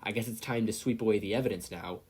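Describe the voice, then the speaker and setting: A man voicing a cold, rough male kidnapper with a gravelly tone in a story reading. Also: fairly low pitch, quick pace, a little mellow. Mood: cold, smug.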